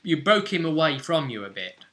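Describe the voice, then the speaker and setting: A man's voice: fairly high pitch, very animated, moderate pace, fairly bright tone. A British man casually recapping a tabletop role-play session as game master, telling it like a story in loose, broken pieces.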